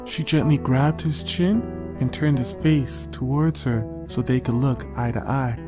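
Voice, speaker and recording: A man reading scripted audiobook narration as a storyteller, measured and with deliberate pauses. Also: medium pitch. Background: guitar music.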